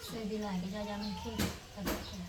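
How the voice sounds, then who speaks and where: A woman's voice: medium pitch, flat delivery, quick pace. A woman with a Northeastern Thai Isan accent, chatting casually to viewers as a vlogger. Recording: distant mic, clear background noise.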